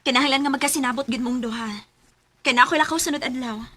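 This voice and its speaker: A woman's voice: high voice, steady tone, fast talker, background noise, lightly acted. A woman with a Cebuano accent playing an eager young adult daughter who coaxes and pleads in a radio drama. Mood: persuading.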